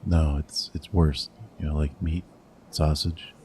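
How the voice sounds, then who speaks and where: Casting: a blunt veteran cop, deep and dark-toned. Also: male voice, little pitch movement, takes it slowly, breathy edge, exaggerated voice. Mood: gruff. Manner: dry, blunt. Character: veteran male detective, gruff, wary.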